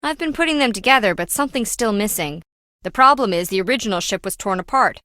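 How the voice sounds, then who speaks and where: Brash female treasure hunter for a video game: bright forceful voice, sardonic and flippant, very dry close sound. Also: medium pitch, animated, fast, dry recording. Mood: grudging. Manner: wry, breezy, slangy teasing.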